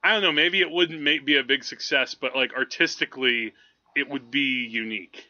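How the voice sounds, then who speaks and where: A man's voice: mid-range voice, moderate pace, pushed and bright, dull audio. A man co-hosting a podcast, chatting casually about films in a rambling, enthusiastic way. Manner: rambling, enthusiastic explaining, frequent "like". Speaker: podcast co-host. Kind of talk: casual film chat.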